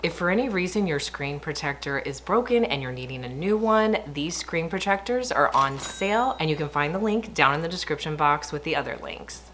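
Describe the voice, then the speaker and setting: A woman in her thirties, hoarse from laryngitis, talking through product deals in an upbeat, chatty way. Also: deep voice, lively intonation, a little fast, few pauses, fairly bright tone, slight background noise. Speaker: shopping deals vlogger. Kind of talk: product roundup voice-over.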